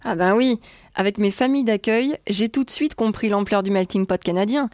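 A woman from France co-hosting a travel podcast in a bright, upbeat way. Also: mid-range voice, fairly quick, dry recording, studio quality. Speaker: podcast co-host. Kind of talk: scripted travel podcast talk.

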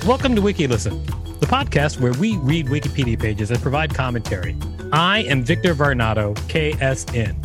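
A man's voice: mid-range voice, very animated, brisk, music in the background. A man co-hosting a podcast, reading an encyclopedia page aloud and adding casual commentary. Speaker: podcast co-host.

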